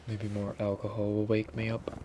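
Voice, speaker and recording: A sleepy man chatting quietly on a late-night stream, drifting into whispers. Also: fairly low pitch, monotone, normal speed, noisy background. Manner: drowsy, half-whispered. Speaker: ASMR streamer.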